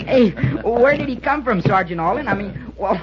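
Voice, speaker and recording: An actor playing an excitable, polite boy cadet who stammers and gushes in an old-time radio drama. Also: high voice, normal speed, a loud crowd. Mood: overjoyed, excited. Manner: stammering, gushing, respectful. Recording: muffled, noisy old radio recording.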